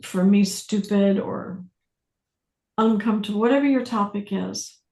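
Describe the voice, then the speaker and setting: A woman facilitating a self-inquiry class, speaking deliberately with pauses, questions and phrases repeated for emphasis. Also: medium pitch, mellow. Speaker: workshop facilitator leading a group class. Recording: roomy echo on the voice.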